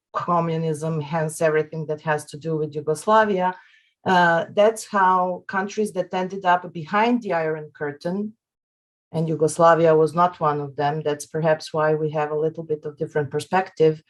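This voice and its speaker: Slow, earnest woman from Serbia. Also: low voice. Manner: measured and earnest, with frequent hesitations. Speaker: panelist. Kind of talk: panel discussion.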